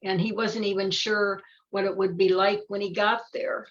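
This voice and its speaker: An older woman chatting casually about a children's book, haltingly, often searching for her words. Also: medium pitch, little pitch movement, muffled recording. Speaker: volunteer storybook reader.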